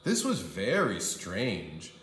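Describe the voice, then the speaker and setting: A man reading a children's story aloud as a kindergarten teacher, with expressive, drawn-out emphasis on key phrases. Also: sits low, echoey room, a little hiss or hum. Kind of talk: animated storybook narration for young children.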